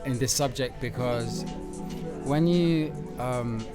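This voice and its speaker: A man's voice: mid-range voice, slow. Hesitant young male producer over music. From born in London, grew up in Syria. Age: 20s. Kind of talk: casual radio interview. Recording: music playing under the talk.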